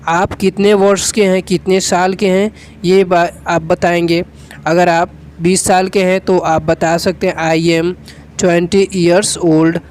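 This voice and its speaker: A teacher talks through a spoken English lesson one step at a time. The voice sits at a middle pitch, and example phrases are spoken slowly and carefully before each is explained. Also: a little mellow.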